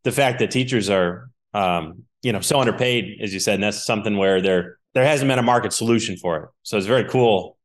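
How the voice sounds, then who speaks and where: A man hosting an interview podcast, upbeat and affirming, sometimes trailing off into a quieter, lower voice. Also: fairly quick, few pauses. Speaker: podcast host.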